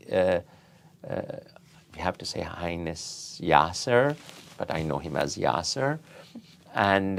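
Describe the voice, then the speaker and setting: A man in middle age or older, from Iran, answers as a founder being interviewed. His voice sits on the low side and he speaks very slowly. He picks his phrases deliberately, with halts, in a conversational storytelling style. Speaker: interview guest, tech company founder and CEO.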